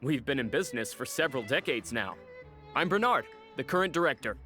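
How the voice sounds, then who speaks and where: A man's voice: medium pitch, expressive, brisk, sharp tone, faint music behind. An actor playing an evasive, polite male animal shelter director in a video game. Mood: defensive, explaining. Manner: hesitant, explaining, polite. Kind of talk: English game dub dialogue.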